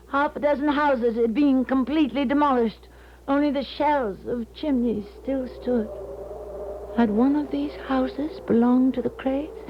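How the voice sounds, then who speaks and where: Haunted clairvoyant, dull radio recording. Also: female voice, high voice, a little hiss or hum. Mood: haunted, tense. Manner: measured, dramatic, solemn delivery. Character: grave, haunted older female clairvoyant recounting wartime London. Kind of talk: 1970s radio drama narration. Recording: dull 1970s radio broadcast, music behind.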